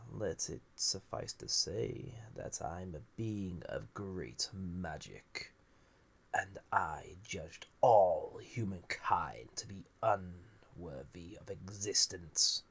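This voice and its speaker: Casting: male actor as an ancient banished sorcerous villain for audio roleplay; husky, gravelly, theatrical, with wide swings of intonation. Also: sits low, lively intonation, drawn-out words, a bit bright, heavily acted. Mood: grave, menacing. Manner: musing, theatrical, drifting into breathy near-whispers. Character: ancient banished male villain, magical being, wry, flirty, menacing. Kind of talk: scripted audio roleplay, intimate monologue to listener.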